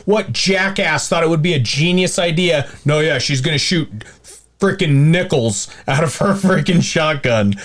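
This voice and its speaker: A man's voice: on the high side, moderate pace, fairly bright tone. A man co-hosting a podcast in casual chat, ranting comically in an exasperated, slangy, mildly crude way. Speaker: podcast co-host.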